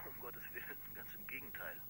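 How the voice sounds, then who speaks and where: An actor playing a formal, aggrieved pharmacist in a radio crime drama, speaking deliberately. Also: male voice, mid-range voice, background noise, natural voice. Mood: indignant. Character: indignant, formal male pharmacist with a doctorate.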